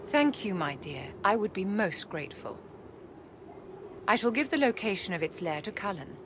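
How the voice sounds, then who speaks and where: An actress playing a poised, secretive court enchantress in a video game, formal and measured, with condescending courtesy. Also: female voice, medium pitch, very animated, background noise, lightly acted. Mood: composed, guarded. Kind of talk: video game cutscene acting.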